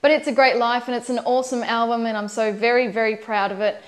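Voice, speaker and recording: A woman's voice: fairly high pitch, fairly even intonation, fast. Chatty Australian woman, lots of ums. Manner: chatty, many ums and uhs. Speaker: country singer. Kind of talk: promotional interview monologue.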